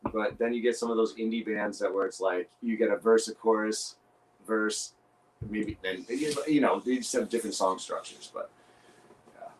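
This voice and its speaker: A man with a low voice, a guest musician chatting casually and animatedly, full of "you know" and "right". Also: moderate pace, slight background noise, poor audio. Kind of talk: casual chat.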